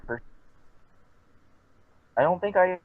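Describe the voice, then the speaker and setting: A man's voice: high-pitched, expressive, slow pace. A man talking casually as a player in a tabletop roleplaying game, over a lo-fi mic. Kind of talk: casual group game chat. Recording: dull lo-fi mic, faint noise, clicks.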